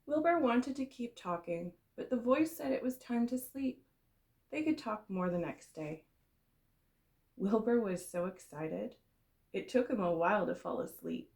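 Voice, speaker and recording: A woman reading a storybook aloud for young children, in the expressive, explanatory way of a kindergarten teacher. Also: on the high side, measured pace, creaky.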